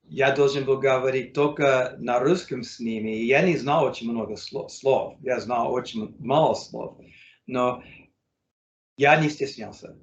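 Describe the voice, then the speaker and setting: An American man, a native English speaker, speaking learner's Russian in a casual interview, halting with many fillers and self-corrections. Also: mid-range voice, roomy sound, muffled recording, a little hiss or hum. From United States. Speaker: interview guest, English teacher.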